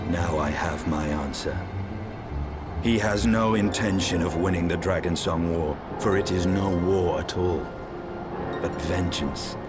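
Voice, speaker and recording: A man playing a grim, resolute dragoon knight in a fantasy game, speaking stern, measured, archaic English. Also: low voice. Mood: brooding. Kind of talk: dramatic game acting, archaic formal English.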